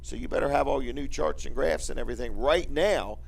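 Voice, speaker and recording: A man's voice: mid-range voice, fairly quick, gravelly, recorded from a distance, poor audio. An American man hosting a casual promotional radio chat in a folksy, repetitive salesman's manner with joking questions. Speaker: radio show host and business owner.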